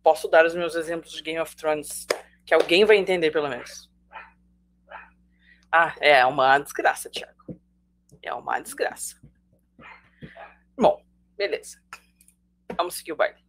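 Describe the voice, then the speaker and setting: Brazilian woman teacher, casual and joking with students, lowish pitch. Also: a little slow, long pauses. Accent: Brazilian Portuguese. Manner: chatty, jokey, addresses students directly. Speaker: sociology teacher. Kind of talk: live online class.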